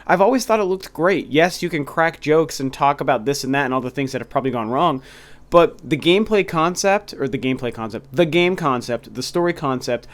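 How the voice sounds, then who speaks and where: A man's voice: medium pitch, clear, resonant tone. A young man hosting a video game review channel, talking casually and enthusiastically with frequent restarts while a little stuffy and nasal from a cold. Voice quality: slightly nasal. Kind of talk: casual solo talk to camera.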